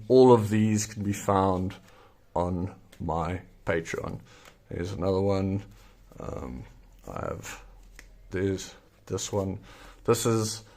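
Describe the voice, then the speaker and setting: A man from South Africa whose first language is Afrikaans, with a South African accent, explaining a craft in a relaxed, hesitant way with many pauses and fillers. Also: sits low. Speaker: zine maker. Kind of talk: casual tutorial.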